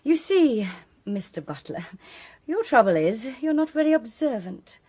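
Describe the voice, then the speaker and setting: Husky, pushy amateur sleuth. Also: female voice, fairly high pitch, sing-song, mellow, exaggerated voice. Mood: smug, challenging. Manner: brisk, persistent, forthright. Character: pushy, self-assured young woman, amateur sleuth. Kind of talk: radio drama acting.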